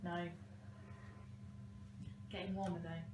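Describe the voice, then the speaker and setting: A woman's voice: lowish voice, level tone, slow pace. A playful, giggly woman bantering casually with friends indoors at home. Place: indoors in a house. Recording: echoey room, loud noise and clicks.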